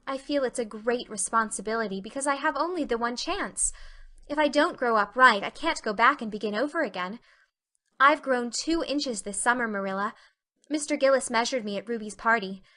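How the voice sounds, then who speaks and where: A woman's voice: a little high, sharp tone. A woman voicing an earnest, talkative, imaginative teenage girl with effusive, dramatic delivery in a reading of a classic novel. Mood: earnest, chatty.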